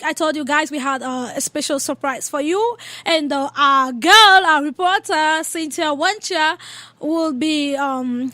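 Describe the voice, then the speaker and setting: High, bright-voiced woman radio host. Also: no room sound. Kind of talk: live radio presenting.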